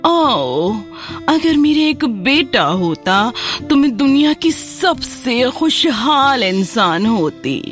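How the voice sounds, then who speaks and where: Tender orc wife for kids' cartoon female narrator acting, higher voice, drawn-out yearning delivery. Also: a bit bright. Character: gentle orc wife longing for a son. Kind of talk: children's cartoon story acting.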